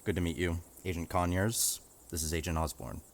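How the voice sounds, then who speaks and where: An actor playing a callous federal agent who speaks coldly and dismissively. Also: male voice, low-pitched, fairly quick. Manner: cold, flat, dismissive.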